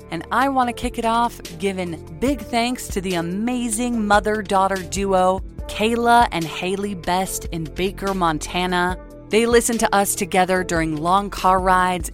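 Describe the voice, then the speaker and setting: A woman co-hosting a true crime podcast, narrating a case in an earnest, soft-spoken way and reading listener shout-outs. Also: medium pitch. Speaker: podcast co-host.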